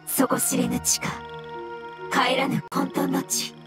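A woman's voice: sits high, little pitch movement, slow. A woman playing a small, polite floating mushroom spirit in an anime game, speaking in a formal, cryptic way. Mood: solemn. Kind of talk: Japanese anime game voice acting. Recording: loud music under the voice.

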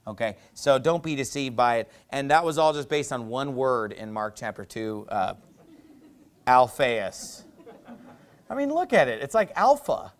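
A man, preaching an animated, conversational sermon from a pulpit, wearing a lapel mic in a small church. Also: medium pitch, unhurried. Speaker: pastor. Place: small church stage with painted backdrop, indoors.

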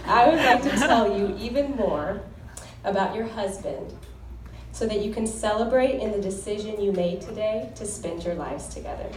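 A woman's voice: mid-range voice, animated, normal speed, background noise. A young woman giving an earnest, heartfelt wedding toast as the groom's sister, reading scripture in a devout tone. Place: wedding reception hall. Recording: echoey hall recorded from far off.

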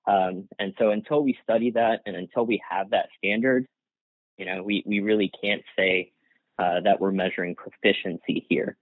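A man whose first language is Gujarati answers an interviewer in a hesitant, restarting way with a slightly low voice. He comes through a tinny, phone-like remote call line. The sound is dry and close, with no room around it. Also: fairly even intonation, normal speed, dry recording. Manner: hesitant, many restarts and fillers, laughs lightly. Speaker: guest, workplace psychologist.

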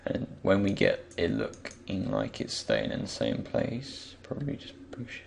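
A man with a croaky, just-woken voice gives an unscripted software tutorial, hesitantly thinking aloud as he goes. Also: deep voice, wildly swooping pitch, unhurried. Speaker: tutorial presenter. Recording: faint background noise, keyboard and mouse clicks.

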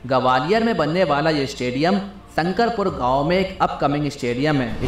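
A man reading scripted countdown narration in the style of an online video. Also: mid-range voice. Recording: roomy playback with music bed. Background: background music.

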